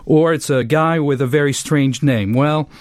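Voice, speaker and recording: Man, Swiss French accent, radio co-host, friendly, pausing often, dry sound. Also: on the high side, no echo. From French-speaking Switzerland. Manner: friendly, explanatory, with frequent "uh" pauses.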